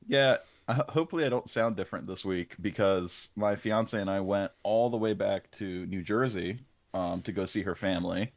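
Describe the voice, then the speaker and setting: Young male co-host, very low pitch, a touch hoarse, dry sound, easygoing storyteller. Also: normal speed, no room sound. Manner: chatty storyteller with many ums. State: slightly hoarse. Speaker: podcast co-host. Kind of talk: casual chat.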